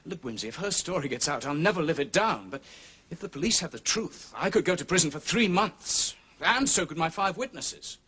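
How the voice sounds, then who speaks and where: An embarrassed upper-class English gentleman blurts out his troubles in a period radio play. The voice is bright, tense and husky, with lively, flustered swings in pitch. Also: a little fast, exaggerated voice. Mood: exasperated. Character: agitated, embarrassed English gentleman in a scrape, male. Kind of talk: period radio drama acting.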